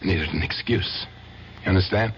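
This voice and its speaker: An actor playing a brash, possessive husband who has just been acquitted of murder, in a 1970s radio drama. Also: male voice, low-pitched, normal speed, vocal fry, fairly bright tone. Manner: brash, needling. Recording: muffled old radio recording, hiss.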